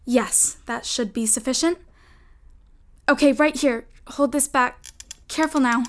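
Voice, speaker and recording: A woman's voice: high voice, a little slow, fairly bright tone, a little hiss or hum. A woman playing a commanding, coaxing older sister, a scheming girl from an old-fashioned household, in an audio drama. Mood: bossy, conspiratorial.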